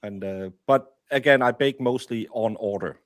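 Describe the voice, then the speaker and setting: A man with a Danish accent, the guest baker, who talks in a deadpan, hesitant way. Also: medium pitch, normal speed, no echo, clean studio sound.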